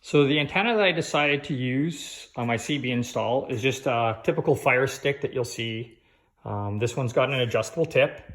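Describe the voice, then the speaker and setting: A man with an average-pitched voice talks through a hands-on installation for a vlog. His delivery is casual and informal, like showing someone around a project, with conversational asides along the way. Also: moderate pace, a bit bright. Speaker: vlogger giving a DIY install walkthrough.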